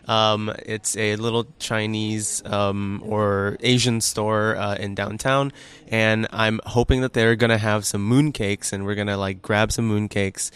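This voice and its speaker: A young man from Indonesia answers interview questions in an easygoing, friendly way. His voice is smooth and clear, sitting slightly low. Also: a bit bright. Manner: friendly, easygoing, with many ums and laughs. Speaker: graduate student. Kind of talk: casual interview.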